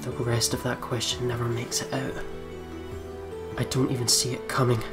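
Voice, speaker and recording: Steady, even-toned game narrator over music. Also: mid-range voice, moderate pace. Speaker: let's play host. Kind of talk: reading visual novel text aloud with spoken reactions. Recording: music behind the voice.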